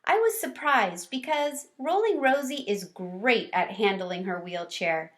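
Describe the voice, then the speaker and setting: A woman reading a children's storybook aloud in an expressive, animated way. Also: mid-range voice, measured pace. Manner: animated, with varied character lines. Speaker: read-aloud teacher or storyteller.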